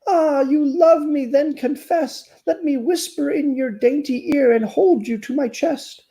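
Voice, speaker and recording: A man voicing a lovesick, pleading male turtle in a high, sing-song falsetto in a storybook poem. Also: unhurried, warm tone. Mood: wooing.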